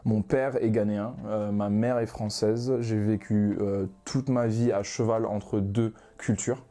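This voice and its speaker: Relaxed young man with a mellow voice. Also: fairly low pitch, a little slow. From Ghana. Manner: relaxed, many hesitations and restarts. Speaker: manga author guest. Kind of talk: casual podcast conversation.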